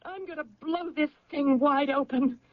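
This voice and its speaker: A woman's voice: sits high, moderate pace, phone-quality sound, crowd noise, natural voice. A woman acting in an old-time radio drama as an insistent wife convinced that her husband is alive, earnest and emphatic under strain. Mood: stubborn, emotional.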